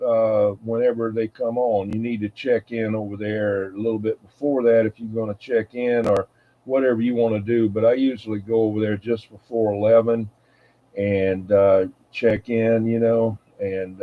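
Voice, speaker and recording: Folksy man, muffled phone-like sound. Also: on the low side. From United States East Coast. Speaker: CB radio show host. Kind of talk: casual rambling hobby chat.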